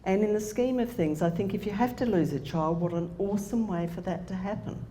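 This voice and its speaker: A woman's voice: mid-range voice, brisk, warm tone. A woman in her sixties, telling a candid personal story as a conference speaker. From Australia. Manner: plain-spoken, candid storyteller. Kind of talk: personal story talk to an audience. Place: conference room. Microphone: handheld microphone. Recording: echoey hall with faint noise.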